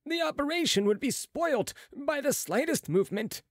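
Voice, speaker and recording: A man voicing a cautious, courteous chief surgeon with a formal, deliberate manner in an audiobook. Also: high voice, sing-song, vocal fry, dry recording, studio quality, voice pushed up, heavily acted. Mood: alarmed protest. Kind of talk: audiobook character voice.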